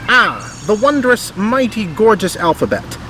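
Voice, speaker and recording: High, chirpy little bird teacher voiced by a man. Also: wildly swooping pitch, a little slow, noisy background, heavily acted. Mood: marveling. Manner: chirpy, exclamatory, theatrical. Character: small cheerful bossy female bird teacher.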